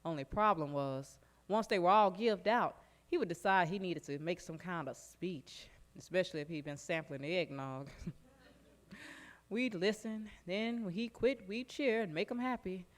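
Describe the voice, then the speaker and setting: Sly, folksy old-time plantation servant played by an actress. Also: female voice, lowish voice, measured pace, lightly acted. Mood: fond, wry. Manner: animated, folksy, conspiratorial. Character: lively, sly enslaved plantation servant reminiscing fondly. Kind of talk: theatrical folk storytelling monologue on stage. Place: live stage performance in a recreation hall.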